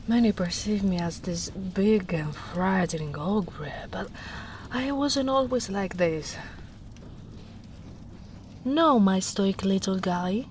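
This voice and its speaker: A woman voicing a gentle, protective female ogre in a soft-spoken roleplay, talking in a hushed, half-whispered way. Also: mid-range voice, takes it slowly, long pauses, a little hiss or hum, lightly acted. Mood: wistful.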